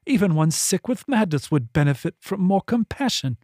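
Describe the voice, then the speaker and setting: A man's voice: high voice, very animated, normal speed, darkish tone, no echo, clean studio sound, voice pushed up. A man doing an earnest young lad.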